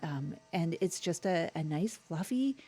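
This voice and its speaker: Low-pitched woman hosting a comedy podcast. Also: animated, unhurried, dark tone, soft background music. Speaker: podcast host. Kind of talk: improvised comedy podcast banter.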